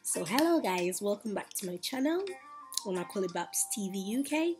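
A young woman with a Ghanaian accent talks casually to her webcam in a small room, chatty and unhurried, with soft asides. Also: mid-range voice, sing-song, over music. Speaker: YouTuber. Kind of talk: casual chat to camera.

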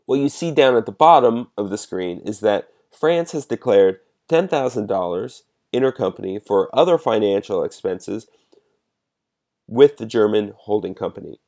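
A man with a fairly low voice narrates a software demonstration. He explains each step in a measured, instructional way, like a presenter guiding users through a program. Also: animated.

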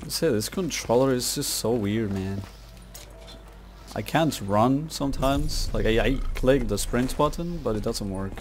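A man's voice: medium pitch, measured pace, darkish tone. A man giving casual, laid-back gaming commentary, muttering to himself as he plays. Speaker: gamer narrating own gameplay. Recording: game music and gunfire behind. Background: zombie shooter game music and gunfire.

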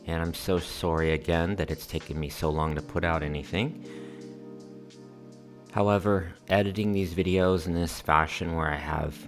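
Deep, flat-voiced American man narrating. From United States. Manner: calm, earnest and friendly, uses y'all. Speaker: vlogger. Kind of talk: voice-over narration over scenic footage.

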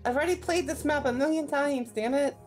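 A woman's voice: high voice, fairly quick, soft background music. A young woman gamer chatting casually over a game, with blunt, sweary banter. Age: young adult. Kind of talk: casual gaming chat.

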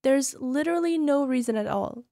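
A very high, girlish voice of a woman acting as a young yandere wife in an ASMR roleplay. She coos and coaxes sweetly, doting yet possessive, with a controlling menace underneath. The recording is dry, with no room sound. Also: expressive, moderate pace, mellow, dry recording. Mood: defensive, menacing. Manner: cooing, coaxing, sugary with controlling edge. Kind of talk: ASMR roleplay, speaking directly to listener.

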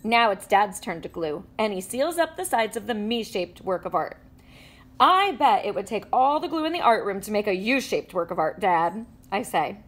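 A woman reading a children's picture book aloud with animated storytelling and playful sound effects. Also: mid-range voice, normal speed. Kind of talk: expressive read-aloud. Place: indoors at a table with a book.

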